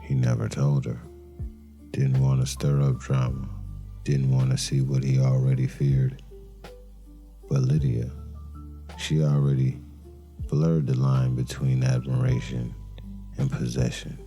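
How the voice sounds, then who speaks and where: A man playing a brooding detective, narrating a crime drama in a somber, deliberate noir style with dramatic pauses. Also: rumbling low, flat delivery, gravelly, warm tone, full character voice. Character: brooding male detective narrating a murder case. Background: music.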